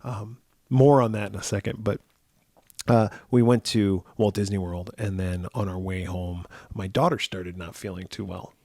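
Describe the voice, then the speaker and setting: Raspy low-voiced man, dry close sound. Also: steady tone, normal speed, darkish tone, no room sound. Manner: rambling, many fillers and restarts. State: hoarse after illness. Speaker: podcast co-host. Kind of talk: casual chat.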